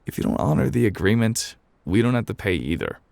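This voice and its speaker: A man reading as a clever storybook mayor, firm and self-assured. The voice is low and creaky with lively movement in it, calm and declarative. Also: a little fast, no echo. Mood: calm, shrewd.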